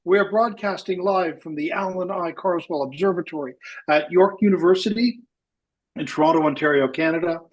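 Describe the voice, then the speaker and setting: A man hosts a live science radio show, a bit raised in pitch and husky in tone. He talks in a halting way, full of fillers and restarts. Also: low-quality recording. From Wales. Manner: hesitant, many fillers and restarts.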